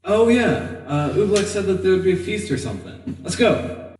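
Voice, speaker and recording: Halting man game master, distant in the room. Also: high voice, sing-song, a little mellow. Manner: halting, pausing mid-sentence. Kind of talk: improvised narration of a tabletop game. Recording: noisy room, distant mic.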